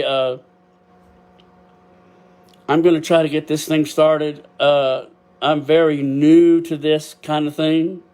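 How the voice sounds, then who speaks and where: An older man with a Texas drawl talks off the cuff into a cheap webcam microphone, rambling and emotional with frequent laughs. He sometimes gets loud without noticing. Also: mid-range voice. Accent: Texan. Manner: loud at times, deaf and can't hear himself. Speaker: podcast host. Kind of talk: casual solo podcast talk. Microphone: built-in webcam microphone.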